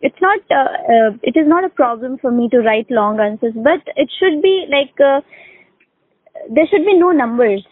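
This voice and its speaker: A woman's voice: sits high, lively intonation, normal speed, no room sound. A woman with an Indian accent, a native Urdu speaker, chatting casually as an English conversation tutor. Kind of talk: casual one-to-one lesson chat. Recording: dry, narrow phone-line sound.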